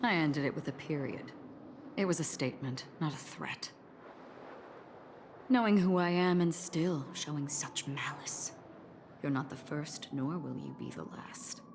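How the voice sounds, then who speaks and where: A woman voicing a cool, unflappable, quietly dangerous character in a video game, speaking in a measured, deadpan and pointed way. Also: sits low, noisy background. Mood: calm defiance. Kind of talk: dramatic video game voice acting.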